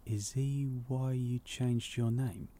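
An actor playing a teasing but considerate young theme-park worker with a British accent, informal and conversational in an audio drama. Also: male voice, mid-range voice, steady tone, takes it slowly, warm tone, close to an everyday voice. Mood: gentle. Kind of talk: naturalistic audio drama acting.

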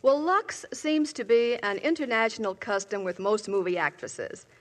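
A woman's voice: fairly high pitch, moderate pace. A woman Hollywood reporter in a scripted 1950s radio segment, chatty and enthusiastic.

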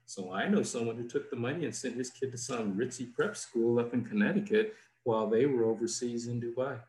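A man, a diplomat guest, answering questions in a plain, measured, explanatory way over a video call. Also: medium pitch, a little mellow, a little hiss or hum. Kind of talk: informative answers in an interview. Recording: roomy echo.

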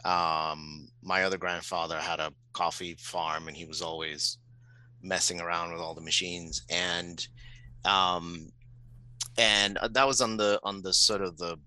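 A middle-aged man from Puerto Rico talking casually as an interview guest, reflecting in halting, hesitant phrases. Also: deep voice, fairly even intonation, pushed and bright, poor audio.

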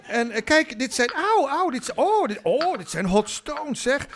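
A man acting in a comic radio play as a sheepish older suitor who bluffs earnestly and haltingly. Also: extremely high pitch, very animated, a bit bright, heavily acted. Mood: flustered bluffing.

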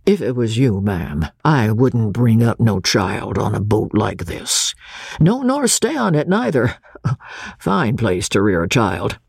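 A woman's voice: a bass voice, sing-song, takes it slowly, vocal fry, darkish tone, breathy edge, dry recording, clean studio sound, full character voice. A woman voicing a stern, threatening male small-town sheriff in an audiobook, with folksy menace. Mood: scornful.

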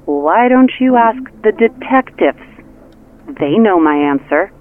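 A woman's voice: medium pitch, animated, slow pace, slight background noise. Sarcastic woman on a muffled phone line. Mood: exasperated. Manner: sarcastic, curt. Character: Mel, exasperated sarcastic woman co-worker on the phone. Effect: phone filter. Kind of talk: naturalistic audio drama acting. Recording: muffled phone-filtered sound with music.

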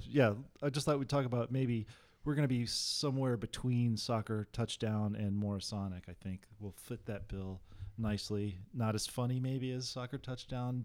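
Man, lowish voice, podcast co-host, casual chat, halting delivery, self-deprecating. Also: a little mellow.

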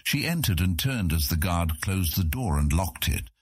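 Male fantasy audiobook narrator: very low, rough-edged voice, animated intonation, dramatic scripted read. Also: normal speed, no echo, clean studio sound.

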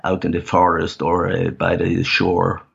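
Brief: middle-aged Danish man, deep gravelly voice, hesitant, dull lo-fi sound. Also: monotone, fairly bright tone. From Denmark. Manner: halting, many fillers and restarts. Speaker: guest. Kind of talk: casual interview talk.

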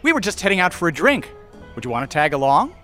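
An actor playing an uptight, awkward, eager imaginary boyfriend. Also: male voice, big pitch swings, fast talker, pushed and bright, soft background music. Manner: awkward, eager, swoops in pitch. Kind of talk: audio drama acting.

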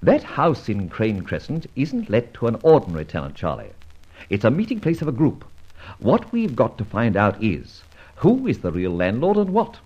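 A suave gentleman adventurer from an old radio serial. His speech is urbane and wordy, with very wide melodic swings and a slightly rough, husky tone. He sounds assured and gently mocking. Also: mid-range voice, huge pitch swings, moderate pace, muffled recording. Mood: brisk, conspiratorial. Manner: urbane, wordy, theatrical British gentleman diction. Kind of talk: old radio serial acting, with first-person narration.

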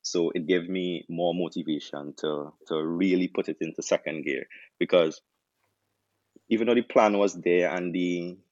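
A young man with a Trinidadian accent, a doctor turned chef, telling his story in a relaxed interview with many restarts. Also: low voice, normal speed, no room sound, lo-fi sound. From Trinidad and Tobago. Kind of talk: casual interview answers.

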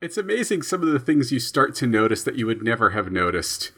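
A man chatting casually as a podcast guest about sound design, animated and laughing between phrases. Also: mid-range voice, brisk, breathy edge. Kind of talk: casual chat.

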